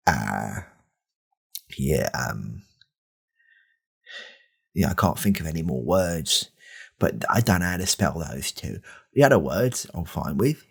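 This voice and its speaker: A man playing a dim, earnest co-host on a parody podcast, rambling and hesitant in a deadpan, husky voice. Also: low voice, full character voice.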